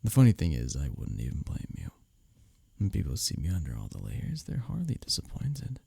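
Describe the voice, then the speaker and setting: A man acting an arrogant, teasing office rival who is secretly smitten, in an intimate audio roleplay, often breathy and near a whisper. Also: low voice, huge pitch swings, background noise, exaggerated voice. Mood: smug, teasing. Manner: smirking, teasing, halting when flustered. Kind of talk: intimate ASMR audio roleplay addressed to the listener.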